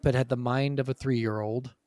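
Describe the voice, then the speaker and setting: A man with a mid-pitched voice, recorded dry with no room sound. He co-hosts a gaming podcast, telling anecdotes in an informal, chatty style. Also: steady tone, normal speed, a little mellow, no room sound. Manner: informal, acts out quotes conversationally. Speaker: podcast co-host. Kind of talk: casual chat, anecdotal storytelling.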